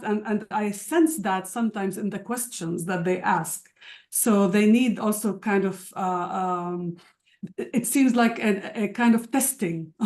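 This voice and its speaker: A woman's voice: mid-range voice, darkish tone. Woman, first language Arabic, many restarts. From Palestinian citizen of Israel. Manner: thoughtful, many fillers and restarts. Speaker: university lecturer. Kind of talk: panel discussion.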